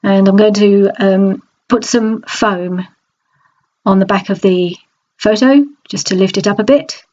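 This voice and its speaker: A woman with a stuffy, nasal voice from a cold, chatting in a relaxed voice-over about a craft project, with many small restarts. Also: medium pitch, a little slow, highs cut off. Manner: chatty, hesitant, frequent restarts. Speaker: scrapbooking crafter. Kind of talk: process video voice-over.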